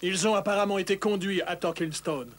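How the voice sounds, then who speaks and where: An actor playing a bold, confident outlaw leader of the forest, declaiming in a French cartoon dub. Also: male voice, high-pitched, steady tone, brisk. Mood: resolute.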